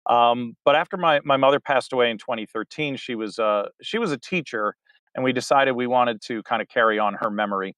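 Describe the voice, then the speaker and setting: A middle-aged man giving a rambling, halting interview answer, full of fillers and restarts. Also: mid-range voice, a bit bright, dry recording. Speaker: interview guest, theater director. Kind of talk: casual interview talk.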